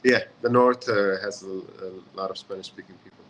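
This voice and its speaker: Chatty man with a bright voice. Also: fairly low pitch, a little fast, a little hiss or hum, rough recording. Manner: relaxed, chatty, many fillers. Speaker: session host. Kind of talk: casual online lesson. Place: hotel in a city abroad.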